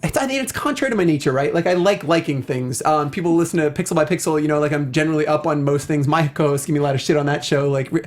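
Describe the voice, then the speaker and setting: A high-voiced American man co-hosts a casual talk show. He speaks effusively and enthusiastically, in long, winding sentences that he often breaks off and restarts. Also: fast talker, hardly any pauses. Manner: rambling, self-interrupting, effusive. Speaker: podcast co-host.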